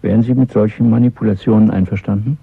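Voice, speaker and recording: A stern, controlled official leading a secret conspiracy in a radio drama. His voice is very low and rough with creak, and he speaks in measured, formal commands. The sound is thin and band-limited, like a telephone. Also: steady tone, no echo, exaggerated voice. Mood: probing, stern. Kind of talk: German radio play acting. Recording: muffled, narrow-band dry radio recording.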